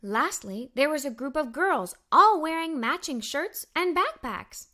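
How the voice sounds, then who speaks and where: A woman's voice: high-pitched, animated, a little slow, bright, forceful tone. A woman reading a children's story aloud, expressively, as a cheerful young girl narrator. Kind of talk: scripted read-aloud storytelling for kids.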